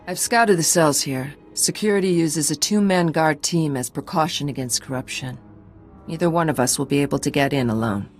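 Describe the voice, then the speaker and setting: Woman acting as hard female clan warrior, lowish voice, curt, commanding, grim, video game dialogue over music. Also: normal speed, natural voice. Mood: terse, commanding.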